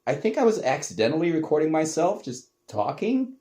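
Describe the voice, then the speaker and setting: A man's voice: a little high, brisk. Guy, swears a lot, teases himself, voice jumps up and down all over. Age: adult. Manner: animated, profane, self-mocking. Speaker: host. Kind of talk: casual commentary reacting to old tapes.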